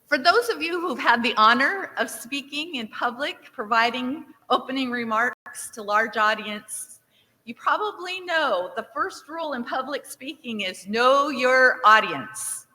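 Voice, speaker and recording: A woman's voice: high voice, lively intonation, measured pace, pushed and bright. Upbeat woman rallying a conference crowd. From Nevada. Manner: upbeat, engages the crowd with show-of-hands questions. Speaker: state agency director giving welcome remarks. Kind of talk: interactive opening speech to a conference audience. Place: large conference hall. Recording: reverberant hall.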